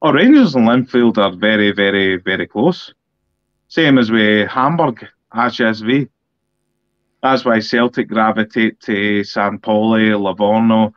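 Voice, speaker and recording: A man's voice: lowish voice, a little slow, no echo. Blunt Scottish man, muffled dry sound. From Scotland. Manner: blunt, emphatic, repeats himself for effect. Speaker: political podcast co-host. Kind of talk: casual political discussion. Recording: dull, dry lo-fi audio.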